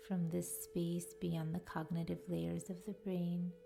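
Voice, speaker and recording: Slow, soft woman guiding meditation. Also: fairly low pitch, flat delivery, creaky. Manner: soft, soothing, deliberate delivery. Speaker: podcast host and yoga nidra guide. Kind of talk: guided meditation narration. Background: faint music.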